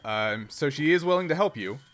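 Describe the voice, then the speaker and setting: Bright-voiced man running a tabletop game. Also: a little high, lively intonation, brisk. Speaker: game master. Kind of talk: casual narration of tabletop roleplaying game.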